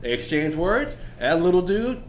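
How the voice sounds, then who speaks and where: Animated laughing male podcast host. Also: high voice, normal speed, slight background noise. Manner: laughs often, animated storyteller. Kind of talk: casual chat, storytelling.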